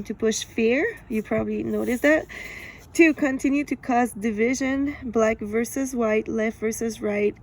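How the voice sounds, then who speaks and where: A woman's voice: fairly high pitch, a little mellow, low-quality recording. A woman with a French accent reading aloud from a book and commenting on it, laughing often. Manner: laughs often, measured and deliberate.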